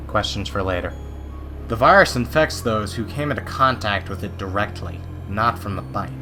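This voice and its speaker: A man voicing a brash, brave young creature fighter in an amateur animated dub, cocky and determined. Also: fairly low pitch, close to an everyday voice.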